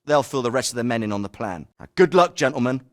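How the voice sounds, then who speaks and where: Actor voicing a deliberate briefing commander. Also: male voice, mid-range voice, expressive, natural voice. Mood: resolute. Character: confident male military commander briefing troops. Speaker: military commander. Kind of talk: video game voice acting.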